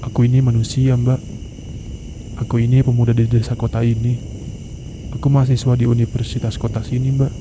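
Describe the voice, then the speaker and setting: Friendly young male mountain guide, acted by a man, reassuring, mid-pitched, for horror animation; clear outdoor insect noise. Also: little pitch movement, brisk, long pauses, natural voice. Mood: friendly, amused. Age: young adult. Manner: reassuring, advising. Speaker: volunteer mountain guide character. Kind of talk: animated horror story dialogue.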